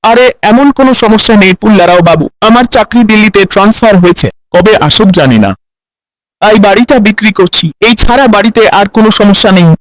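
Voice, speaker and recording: A man voicing an eager, smooth-talking house seller with a reassuring, persuasive manner in a cartoon. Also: high-pitched, lively intonation, fairly quick, no room sound, poor audio.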